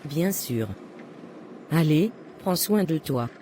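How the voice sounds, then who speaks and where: A woman's voice: sits low, expressive, slow pace, mellow. A woman playing a meddling, self-satisfied middle-aged mother in a cartoon, with a patronising manner. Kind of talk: cartoon voice acting. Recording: faint background noise.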